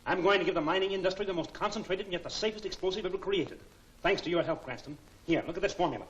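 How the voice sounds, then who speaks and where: Male actor as proud 1940s scientist: quick, excitable, muffled and faintly noisy film soundtrack. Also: high-pitched, natural voice. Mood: excited. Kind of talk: 1940s film serial acting.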